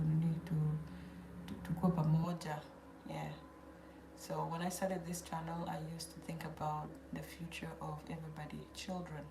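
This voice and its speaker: A woman's voice: lowish voice, gravelly. A woman with an East African accent chatting informally on a livestream as she answers viewers' questions. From South Sudan, grew up in Kenya. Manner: informal, switches between English and Swahili. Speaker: host of a hair channel. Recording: roomy echo, faint background noise.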